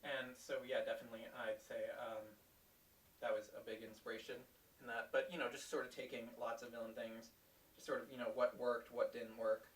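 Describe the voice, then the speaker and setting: A man's voice: mid-range voice, level tone, fairly quick. A man hosting a livestream, chatting casually and hesitantly with plenty of ums. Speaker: livestream host. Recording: roomy, distant mic with hiss.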